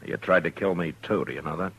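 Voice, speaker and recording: An actor playing a steady, stern American frontier marshal in a radio western, speaking in a measured, firm, understated way. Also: male voice, sits low, creaky, slightly rough, full character voice. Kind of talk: 1950s radio western acting. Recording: lightly noisy old radio recording.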